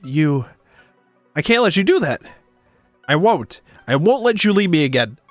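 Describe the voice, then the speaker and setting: A man playing a groggy, devoted male space traveller in a visual novel, gentle, soft-hearted and reflective. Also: fairly high pitch, sing-song, measured pace, no room sound, faint music behind, pitched above the performer's own voice. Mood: pleading.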